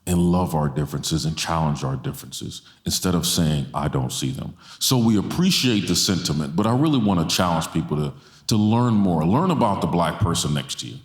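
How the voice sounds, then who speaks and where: A man's voice: sits low, animated, fairly quick. A man in his forties speaking with passion to a church audience on stage, holding a handheld mic and repeating lines for stress. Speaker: guest speaker. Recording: roomy church hall sound.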